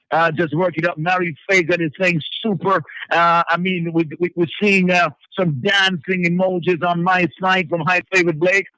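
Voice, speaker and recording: A male radio host chatting casually and reading out listener messages, halting and often repeating his words. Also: sits high, sharp tone, dry recording, poor audio.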